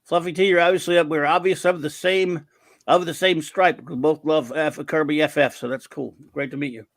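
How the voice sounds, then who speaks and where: A man's voice: a little high, steady tone, a little fast, fairly bright tone, no echo, studio quality. A man hosting a casual livestream chat over a video call, rambling and hesitant, often poking fun at his own memory. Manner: rambling, hesitant, self-deprecating. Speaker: show host.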